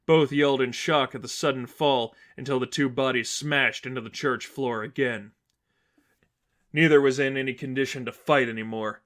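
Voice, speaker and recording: Male narrator for dramatic fan fiction, medium pitch, scripted story delivery. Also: a bit bright.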